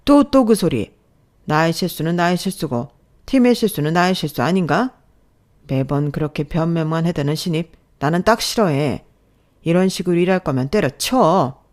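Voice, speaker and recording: A woman's voice: lowish voice, expressive, moderate pace, darkish tone, natural voice. A woman voicing a harsh office manager who insults and berates his staff, with a scolding, sneering manner. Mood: contemptuous scolding.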